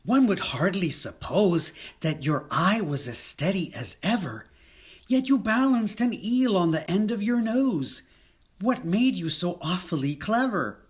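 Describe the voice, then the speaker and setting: A man's voice: high voice, animated, measured pace, voice pushed up. A man voicing a cheeky, inquisitive young son who pesters his father, in a theatrical reading of children's poetry. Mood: cheeky, curious.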